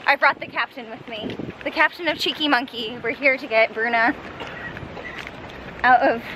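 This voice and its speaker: A woman's voice: fairly high pitch, normal speed, background noise. A woman in her thirties talking casually to a handheld camera as a vlog host. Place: outdoors in town, in a car, on a boat. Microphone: handheld camera close by. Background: music.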